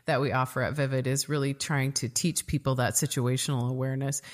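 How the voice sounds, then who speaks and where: Woman, podcast host, very deep voice, casual chat, rambling with ums and restarts. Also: fairly even intonation, brisk. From United States. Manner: rambling, frequent fillers and restarts.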